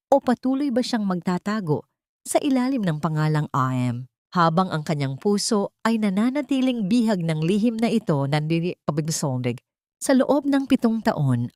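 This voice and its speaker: A woman's voice: on the low side, a little mellow, no echo, studio quality. A woman reading a scripted dramatic story as its narrator.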